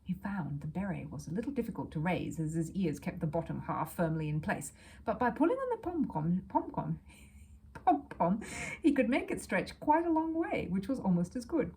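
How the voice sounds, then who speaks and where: A woman reading a children's story aloud as an expressive narrator. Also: on the low side, moderate pace, a little hiss or hum.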